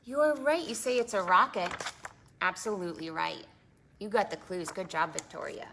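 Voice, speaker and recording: A woman hosting a preschool storytime, reading aloud in an animated, sing-song way with dramatic emphasis and questions for the children. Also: lowish voice, normal speed, gravelly, slight background noise. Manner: prompts listeners with questions. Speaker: children's librarian and storytime host.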